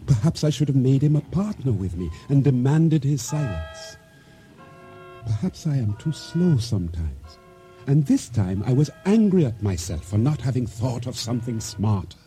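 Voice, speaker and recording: A very dark, mellow male voice of an actor as a solemn boat owner in a radio play. He tells his tale in a measured, wary way, with faint music underneath. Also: medium pitch, sing-song, close to an everyday voice. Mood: grave, regretful. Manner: deliberate, solemn, measured storytelling. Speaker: narrator and lead actor. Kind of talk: radio drama acting, first-person storytelling.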